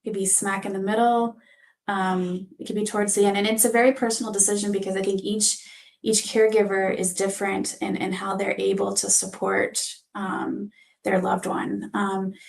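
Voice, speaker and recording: A woman talks in a panel discussion with extremely creaky, fry-laden speech. Her pitch barely moves and her phrasing is measured and hesitant. She sits slightly away from the microphone. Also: distant mic, low-quality recording. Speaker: physician assistant guest.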